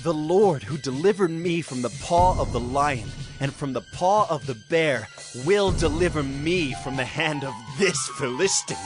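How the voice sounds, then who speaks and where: Guy acting a bold faithful shepherd lad, kinda high voice, earnest, music under it, bible audio drama. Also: unhurried, hardly any pauses, natural voice. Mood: bold, assured. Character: young shepherd man, bold, defiant and full of faith.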